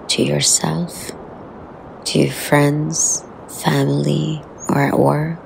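Woman meditation guide, very gravelly fry, low and even pitch, soft breathy endings, soothing. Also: a little hiss or hum, low-quality recording. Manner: soothing, unhurried, with long pauses.

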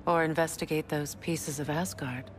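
An actress playing a guarded, resolute warrior goddess in a video game, serious and measured. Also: female voice, low-pitched, fairly even intonation, noisy background, lightly acted. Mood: determined. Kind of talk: video game dramatic acting. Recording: loud music behind the voice.

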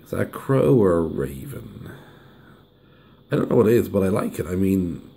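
Hoarse, gravelly low-voiced man. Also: sing-song, normal speed, warm tone. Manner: chatty, hums while unwrapping. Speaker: deck reviewer. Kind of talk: casual unboxing review. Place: indoors at a table. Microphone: camera over the table filming hands.

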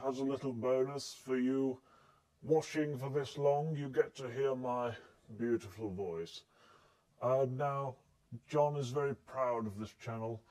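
A man giving a casual announcement in a halting, tongue-in-cheek way. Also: mid-range voice, darkish tone, poor audio.

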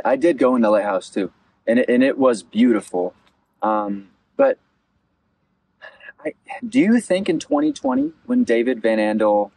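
A man in his twenties hosting a campaign interview outdoors, speaking earnestly and haltingly and often repeating his phrases. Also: mid-range voice, darkish tone, slightly rough, no echo, rough recording. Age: 20s. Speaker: interviewer, local election candidate. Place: outdoors at a beach picnic table.

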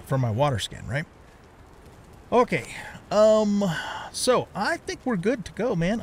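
A man's voice: fairly high pitch, creaky, a little hiss or hum. A middle-aged man giving casual let's play commentary, thinking aloud as he plays. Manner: talks to himself, thinking aloud.

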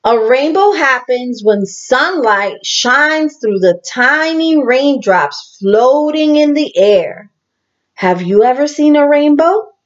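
Woman, fairly high voice, extremely unhurried pace, children's story reading, animated and deliberate, addresses young listeners directly. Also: lo-fi sound. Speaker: teacher reading a picture book to young children. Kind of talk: children's read-aloud with asides to listeners.

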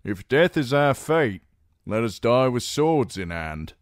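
A man voicing a defiant, hot-blooded knight who speaks in loud, rousing declarations. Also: mid-range voice, very animated, takes it slowly, no room sound, clean studio sound, voice pushed up.

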